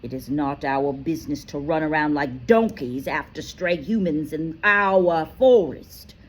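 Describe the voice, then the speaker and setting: A woman reading aloud voices an angry, proud male centaur who shouts scornfully. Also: low voice, a little slow, husky.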